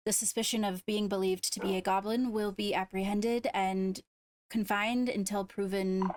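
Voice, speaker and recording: A woman with measured, formal phrasing, addressing a court as its leader in an improvised roleplay. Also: mid-range voice, dry recording. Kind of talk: improvised in-character roleplay announcement.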